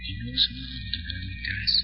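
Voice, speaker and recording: A man hosting a mystery radio show with dramatic, portentous 1940s narration and deliberate pauses. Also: very high-pitched, wildly swooping pitch, vocal fry. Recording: dull old radio recording, loud music.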